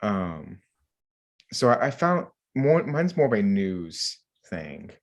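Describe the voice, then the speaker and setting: A man with a low voice chats as a podcast co-host. His delivery is casual and rambling, stopping and starting as he works through each thought with plenty of filler words. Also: sing-song, highs cut off. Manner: rambling, halting, filler-heavy delivery with many "like"s. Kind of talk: casual group chat.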